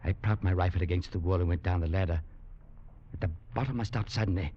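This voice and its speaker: A man's voice: deep voice, fast talker, slightly rough. An actor playing an earnest young legionnaire who tells his story as a grave, dramatic narrator in a 1940s radio drama. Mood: solemn, dramatic. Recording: dull 1940s radio recording with music.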